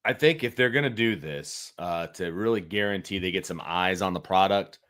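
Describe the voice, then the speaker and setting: A man's voice: low voice, normal speed, fairly bright tone. A middle-aged man giving casual, opinionated sports talk as a co-host, emphatically repeating his points. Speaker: sports show co-host, analyst.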